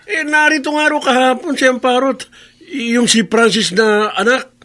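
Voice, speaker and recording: An actor playing a sly, gleeful accomplice in a Tagalog radio drama, with a snickering, gossipy manner. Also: male voice, extremely high pitch, moderate pace, sharp tone.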